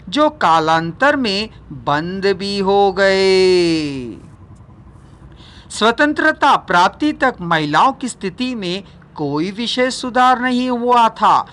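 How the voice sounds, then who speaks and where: A woman narrating a non-fiction book as a scripted reading, deliberate and formal, pausing between phrases. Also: mid-range voice, a bit bright. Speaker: audiobook narrator.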